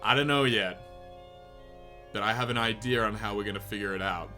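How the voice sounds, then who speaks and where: A man's voice: lowish voice, brisk, sharp tone. Paranoid company boss, male actor, comedy sketch: hard-boiled, melodramatic, tense and punchy, music underneath. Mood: stern, suspicious.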